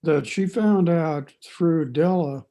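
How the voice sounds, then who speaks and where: A man with a very dark, mellow tone and a slightly high pitch gives his view in a book discussion. He speaks slowly and haltingly, searching for the right words. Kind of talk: reflective opinion in group discussion.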